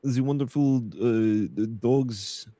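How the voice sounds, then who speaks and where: A man putting on a halting, clumsy foreign accent as a mock soldier, stumbling over his words. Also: mid-range voice, mellow, dry recording, natural voice. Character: man mocking an Eastern European or Israeli soldier.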